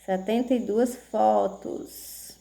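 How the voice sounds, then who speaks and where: A woman's voice: mid-range voice, fairly even intonation, gravelly, mellow, poor audio. A woman teacher speaking, explaining a math lesson to children in a patient way and often checking that they follow. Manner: slow, patient, checks in with "tá certo?".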